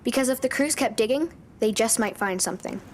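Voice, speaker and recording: A woman's voice: fairly high pitch, a little fast, slight background noise, lightly acted. A woman narrating a film in an ominous storytelling tone. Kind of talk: dramatic movie narration.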